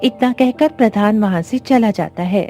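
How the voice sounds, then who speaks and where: A woman reading scripted narration for an animated moral story. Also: medium pitch, moderate pace. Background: background music.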